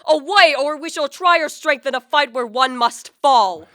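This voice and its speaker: A woman's voice: high voice, lively intonation, moderate pace, fairly bright tone, dry recording, clean studio sound. A woman acting a haughty, guilt-ridden male scientist in a radio play, with formal, declamatory literary speech. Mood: furious, contemptuous. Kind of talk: dramatic radio play acting with first-person narration.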